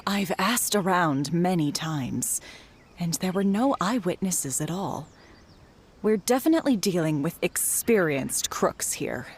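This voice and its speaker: Lowish-voiced, caring tea house worker. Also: female voice, lively intonation, takes it slowly, slight background noise. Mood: concerned, polite. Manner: polite, earnest. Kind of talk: video game voice acting.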